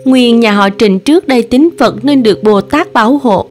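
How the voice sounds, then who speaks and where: A woman's voice: fairly high pitch, moderate pace, soft background music, natural voice. Woman as scornful ancient snake demoness. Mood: scornful confession. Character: thousand-year-old female snake demon, confessing.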